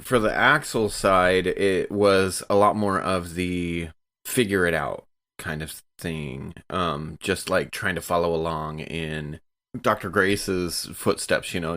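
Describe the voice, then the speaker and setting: Male podcast player with a low-pitched voice, informal conversational delivery. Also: pushed and bright. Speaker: actual play podcast cast member. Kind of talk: casual chat.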